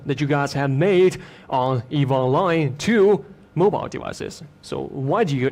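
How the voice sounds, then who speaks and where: A man's voice: mid-range voice, a little mellow. A man hosting a live stream in a studio, presenting in an upbeat, chatty way with lots of filler phrases. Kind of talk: upbeat presenting to an online audience.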